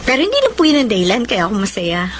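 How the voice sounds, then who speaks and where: A woman's voice: medium pitch, wildly swooping pitch, rapid-fire, a bit bright, slight background noise. A Filipino actress playing a devoted, forgiving and earnest young mother in a radio drama. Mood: happy, excited. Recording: music bed behind the voice.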